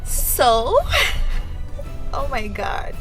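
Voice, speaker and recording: Animated young Tanzanian woman over music. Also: on the high side, drawn-out words. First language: not English. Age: young adult. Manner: animated, quoting others in lively asides. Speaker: interview guest. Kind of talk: casual storytelling.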